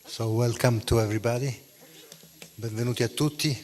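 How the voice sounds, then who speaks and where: A man with an Italian accent, a native Italian speaker, briskly welcoming the audience as a panel moderator in a large conference hall. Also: mid-range voice, steady tone, a little mellow. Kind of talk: welcoming opening remarks. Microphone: desk microphone.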